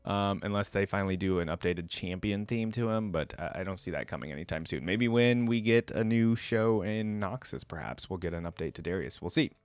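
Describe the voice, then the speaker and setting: A man's voice: sits low, a little fast, few pauses, smooth, no echo. A man giving casual reaction commentary as the host of a video.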